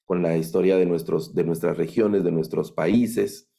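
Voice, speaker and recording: A middle-aged man with a Guatemalan accent giving an online lecture on biblical languages, thoughtful and halting, with many fillers and restarts. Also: low-pitched, steady tone, gravelly, dark tone. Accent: Guatemalan Spanish. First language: Spanish. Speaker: Bible language instructor.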